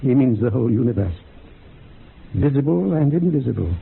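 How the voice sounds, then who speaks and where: A man's voice: medium pitch, lively intonation, a little fast, gravelly, slight background noise. An actor in a radio horror drama playing a learned occult yoga teacher, solemn and incantatory as he lectures. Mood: solemn, rapt. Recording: narrow phone-like radio recording.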